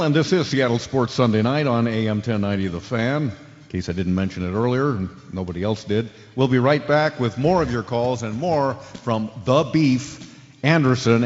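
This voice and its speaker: Actor as cocky sports radio host, reverberant lo-fi. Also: male voice, medium pitch, a little mellow, lightly acted. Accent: American. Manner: smug, sarcastic, showy. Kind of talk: radio drama as live sports talk show. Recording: dull lo-fi audio, long reverb, music.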